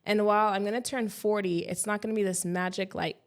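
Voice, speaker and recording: A woman in her late thirties, a podcast guest chatting in a lively way, acting out her own thoughts in quotes and laughing often. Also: medium pitch, quick pace. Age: 30s. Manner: chatty and animated, breaks into quoted inner voices, laughs often. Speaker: podcast guest, café founder and life coach. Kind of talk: casual interview chat.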